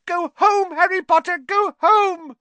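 Storybook house-elf: small, squeaky, high-pitched, anxious, fawning, stammering pleas. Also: male voice, steady tone, measured pace, sharp tone, dry recording, heavily acted. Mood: frantic. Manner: stammering, pleading, speaks of self in third person. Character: anxious, servile little male house-elf, miserable and devoted.

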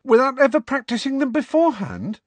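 A man's voice: high-pitched, very animated, brisk, a bit bright, no echo, pitched above the performer's own voice, exaggerated voice. A man voicing an indignant, outspoken teenage schoolgirl in an audiobook, questioning and insistent. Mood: incredulous.

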